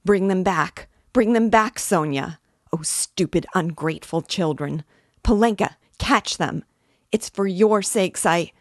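A woman's voice: sits low, steady tone, takes it slowly, dry recording, studio quality. A woman voicing a frantic, ailing widowed mother in an audiobook, crying out and wailing in desperation. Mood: desperate scolding. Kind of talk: dramatic audiobook character reading.

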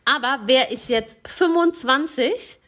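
A young woman chatting casually as co-host. Her voice sits a little high, and she laughs often. She talks in a playful, chatty way. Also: measured pace, a bit bright. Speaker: podcast co-host. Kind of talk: casual chat.

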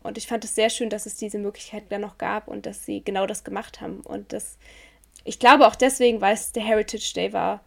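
A German woman in a reflective conversation, talking in a thoughtful, measured way and searching for words as she goes. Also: mid-range voice, steady tone, vocal fry.

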